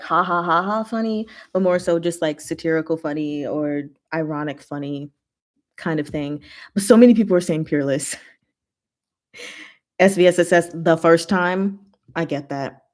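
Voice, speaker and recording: Slow-paced, giggly woman chatting casually. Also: fairly low pitch. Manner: giggly, animated asides with emphatic one-word verdicts. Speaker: host of a chat and Q&A stream. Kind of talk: casual chat reading and answering viewer comments.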